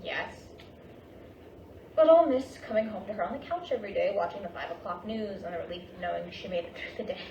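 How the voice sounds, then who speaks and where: A woman's voice: medium pitch, fast, a little mellow, lo-fi sound, natural voice. A woman playing a doting middle-aged mother in a staged play reading, insistent and motherly as she nags and reassures. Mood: wistful. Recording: echoey room, distant mic, clear hiss.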